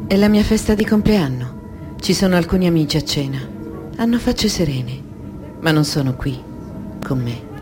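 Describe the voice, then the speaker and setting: A woman's voice: deep voice, expressive, moderate pace, pauses often, music in the background. An actress playing an alienated, tormented thirty-year-old woman in an intense radio drama monologue of short sentences. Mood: strained, detached.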